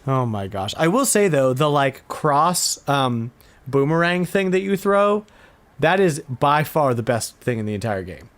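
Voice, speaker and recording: A man hosting a casual video game podcast, talking with animated, exasperated emphasis. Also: on the high side, unhurried. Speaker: podcast host.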